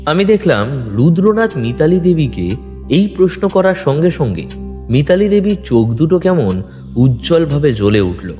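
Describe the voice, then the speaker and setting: A man's voice: fairly high pitch, very animated, moderate pace, warm tone. A man narrating a scripted detective story in the first person with a dramatic storytelling delivery. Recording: long reverb with music bed. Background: background music.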